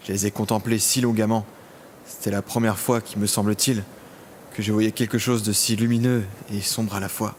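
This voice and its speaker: Man acting a low-voiced brooding, theatrical villain. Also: level tone, normal speed, slight background noise, close to an everyday voice. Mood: haunted, sorrowful. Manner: brooding, theatrical, literary monologue. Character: vengeful, lonely imprisoned villain, self-styled son of death. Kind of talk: scripted audio drama monologue and narration.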